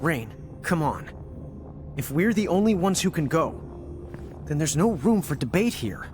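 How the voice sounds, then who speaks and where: A goofy, brash teenage boy hero, his pitch leaping about wildly. The delivery is slangy, exaggerated game acting, with music behind it. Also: big pitch swings, unhurried. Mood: determined. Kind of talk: animated video game voice acting.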